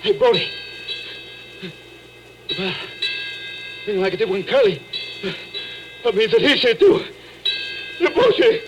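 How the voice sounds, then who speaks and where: An actor playing a frantic, stammering deep-sea diver terrified of ghosts, in 1940s radio horror style. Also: male voice, very animated, measured pace, pauses often, lo-fi sound, over music. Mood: panicked terror. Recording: noisy vintage 1940s radio recording.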